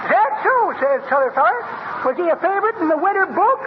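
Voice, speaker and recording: A man's voice: very high-pitched, lively intonation, fast. A man playing a jovial, cackling old codger with an American accent in a 1930s radio comedy, spinning jokes in folksy style. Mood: jovial storytelling. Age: older. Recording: noisy muffled 1930s radio broadcast.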